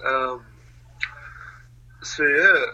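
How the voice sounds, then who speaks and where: Bright-voiced young man, laughing easily. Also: a little high, very slow, highs cut off. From New Zealand. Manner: laughs often, hesitant with many fillers. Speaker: interview guest. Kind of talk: casual conversational interview.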